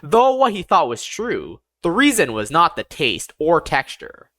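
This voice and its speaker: Audiobook narrator, androgynous mid-range voice, animated intonation, deliberate scripted fantasy storytelling, very dry close sound. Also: medium pitch, no echo.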